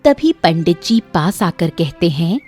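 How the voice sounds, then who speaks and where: A woman's voice: lowish voice, sing-song, a little slow, darkish tone, soft background music. A woman narrator reading a scripted moral story for children.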